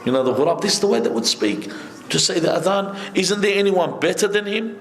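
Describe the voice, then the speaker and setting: A middle-aged man giving a conversational sermon from a seated position, speaking into a stand microphone with lively gestures and asides. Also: high voice, a little fast. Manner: animated, conversational preaching with many asides, gesturing. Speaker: sheikh giving a majlis lecture. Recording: echoey hall.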